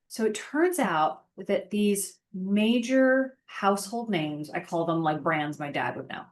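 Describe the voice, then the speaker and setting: A woman in her thirties talks through her career in a relaxed interview. She is chatty and reflective, with fillers scattered through her speech. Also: mid-range voice, a little slow. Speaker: podcast guest, sales engineering manager. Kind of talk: casual interview conversation.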